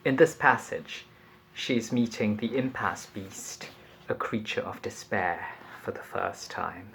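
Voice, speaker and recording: A man reading a passage from a novel aloud in a measured, deliberate and expressive storytelling manner. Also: on the low side, gravelly, slight background noise.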